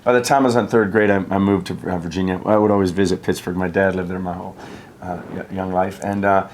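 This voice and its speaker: A man's voice: deep voice, steady tone, quick pace, slight background noise. A man from the United States, an actor guest in a backstage interview, telling stories in an easygoing, chatty way with frequent restarts. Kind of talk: casual interview storytelling. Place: backstage room at a theater.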